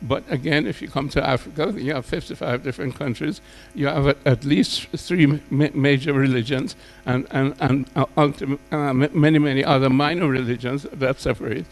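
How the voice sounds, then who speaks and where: A man speaking off the cuff as a panelist, with a halting, emphatic delivery full of repeated words and intellectual phrasing. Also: mid-range voice, faint music behind. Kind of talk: extemporaneous panel speech.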